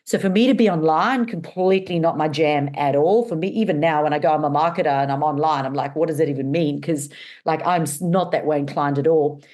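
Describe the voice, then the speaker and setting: Low-voiced South African woman, chatty. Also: a little fast. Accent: mixed South African and Australian. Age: middle-aged. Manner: animated, chatty storytelling with many fillers. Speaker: guest, business owner. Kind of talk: casual interview chat.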